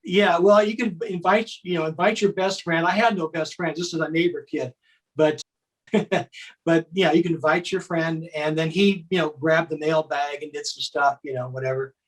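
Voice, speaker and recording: An older man with a slightly high voice. He talks casually as a guest, telling personal memories in a loose, conversational way. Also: fairly even intonation, moderate pace, poor audio. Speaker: guest, former child contestant. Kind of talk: casual reminiscing.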